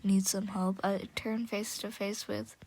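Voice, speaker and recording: Slow hoarse woman reading a story. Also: medium pitch, little pitch movement, a little mellow. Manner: slow, stumbling, chatty. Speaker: audiobook reader. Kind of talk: reading fan fiction aloud.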